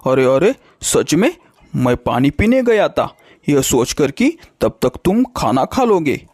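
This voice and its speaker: A man plays a sly, greedy kite bird from a kids' cartoon. The voice sits fairly high. He exclaims and repeats himself, a false friend through and through. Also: big pitch swings, slow, darkish tone, no echo, studio quality, exaggerated voice. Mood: falsely friendly. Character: deceitful scheming greedy male kite bird. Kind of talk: children's cartoon story acting.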